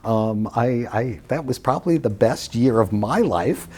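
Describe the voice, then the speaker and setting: Male TV host, mellow tone, lively, expressive delivery, friendly questioner. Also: mid-range voice, unhurried, slight background noise. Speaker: talk show host. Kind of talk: conversational TV interview.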